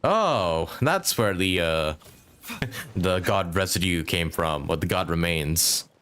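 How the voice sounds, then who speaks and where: Low-pitched man chatting while gaming. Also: animated, takes it slowly, fairly bright tone. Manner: thinks aloud, chatty with viewers. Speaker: live streamer playing a video game. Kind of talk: casual gameplay commentary.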